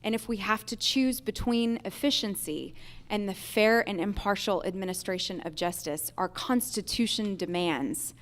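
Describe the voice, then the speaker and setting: A woman with a medium-pitched voice answers questions as a judicial candidate. She speaks earnestly to a public forum, phrasing her points deliberately like someone making a campaign case.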